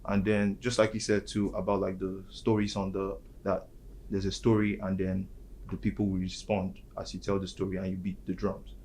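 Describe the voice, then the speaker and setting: A man's voice: low-pitched, monotone, normal speed, a little mellow, a little hiss or hum. A young man, explaining things in a casual discussion and filling his talk with "like". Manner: explanatory, many fillers like "like". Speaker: student panelist.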